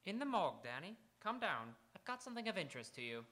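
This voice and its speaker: A morbid, nervy morgue doctor played by a man in a radio noir play. The voice is highish and swoops dramatically, with sharp, ominous, halting phrases. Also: big pitch swings, heavily acted. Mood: morbid, intense. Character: morbid, nervy police medical examiner in a morgue. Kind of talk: theatrical old-time radio noir acting.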